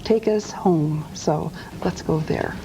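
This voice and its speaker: A woman's voice: low voice, slow, gravelly, over loud music, low-quality recording. A woman hosting a radio interview in an upbeat, encouraging way. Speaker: radio show host. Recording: faint background noise.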